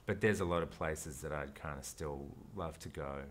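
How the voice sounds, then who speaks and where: A man's voice: extremely low pitch, normal speed. An Australian man, an actor, talking casually as an interview guest, thoughtful and rambling, with frequent restarts.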